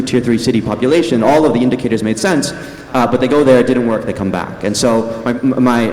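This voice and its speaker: A man speaks as an investor on a conference panel. He is thoughtful and repeats words as he goes. His voice sits a little low and echoes through a big hall. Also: a little mellow.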